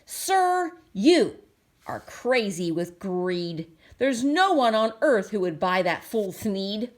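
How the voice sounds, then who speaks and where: A woman voicing a small, indignant old male forest creature from a storybook, sharp and bossy in an emphatic way. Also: mid-range voice, very animated, takes it slowly. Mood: scornful. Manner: bossy, emphatic, shouting and puffing.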